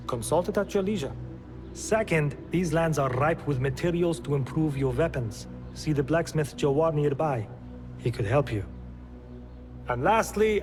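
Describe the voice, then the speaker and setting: A man performs a helpful, proud inventor for a game cutscene, in a somewhat high voice. He is formal, courteous and precise. Long reverb and clear music surround him. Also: close to an everyday voice. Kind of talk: video game cutscene acting.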